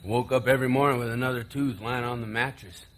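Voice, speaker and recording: An actor playing a taunting, bitter younger brother in a stage play, with a sarcastic, theatrical delivery. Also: male voice, medium pitch, fairly even intonation, fast talker, fairly bright tone, a little hiss or hum, natural voice. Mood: bitter storytelling. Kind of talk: live stage play acting.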